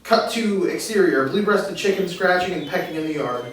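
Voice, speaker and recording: A man's voice: high voice, moderate pace, fairly bright tone. A man reading stage directions as narrator at a screenplay table read, in an echoey room. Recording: very echoey room, distant mic, faint hiss.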